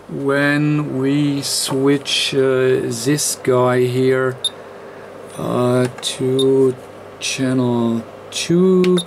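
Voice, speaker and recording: A man talks through a hands-on technical demonstration in a halting way, pausing often mid-phrase. His pace is extremely slow and his pitch sits in the middle for a male voice. He is recorded indoors at a workbench on a camera mic, with faint noise behind him. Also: a little mellow. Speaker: electronics tutorial host.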